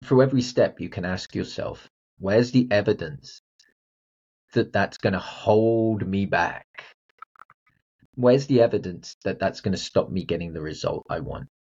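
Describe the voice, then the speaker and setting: Blunt man, husky voice. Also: deep voice, a little slow, darkish tone, highs cut off. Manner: emphatic, blunt, direct address to listener. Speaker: podcast host and confidence coach. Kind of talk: motivational solo podcast.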